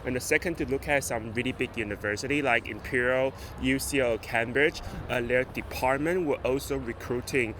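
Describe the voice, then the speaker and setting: A man's voice: mid-range voice, moderate pace, few pauses, noisy background. A man in his thirties from Taiwan, speaking English with a Taiwanese accent, explaining things patiently with hesitations. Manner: explanatory, with hesitations and non-native grammar. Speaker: guest doctor and researcher. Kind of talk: casual interview answers.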